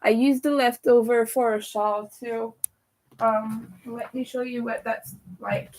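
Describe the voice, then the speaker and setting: A young woman with a raspy, hoarse voice, chatting casually with viewers as she crochets on a livestream. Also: on the high side, steady tone, slow, a little mellow, low-quality recording. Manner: chatty, talks to viewers while working. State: hoarse from streaming a lot. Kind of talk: casual chat while crocheting live.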